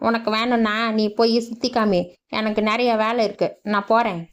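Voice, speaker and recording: A woman's voice: on the high side, fairly even intonation, moderate pace, lightly acted. A woman voicing a lazy, cheeky young village man in a cartoon, with a grumbling, sarcastic manner. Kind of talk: cartoon voice acting.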